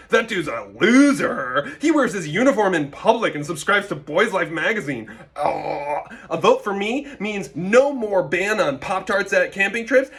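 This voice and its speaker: A teenage boy scout, played by a man, high in pitch and very animated. He delivers a comic campaign speech with whiny bragging and obvious reluctance. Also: heavily acted. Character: cocky, reluctant fourteen-year-old boy scout.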